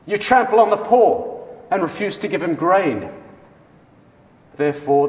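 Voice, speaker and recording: A man's voice: medium pitch, close to an everyday voice. A man performing a dramatic scripture reading as a stern, wrathful God speaking through a prophet, solemn, declamatory and emphatic. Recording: echoey hall with long reverb.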